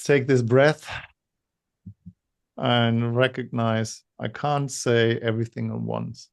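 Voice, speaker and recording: A man with a gravelly, mid-range voice co-hosts a casual webinar. He speaks haltingly, circling back over words and stumbling now and then, and he names his own stutter. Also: medium pitch, level tone. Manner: halting, repeats words, self-described stutterer. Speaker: webinar co-host.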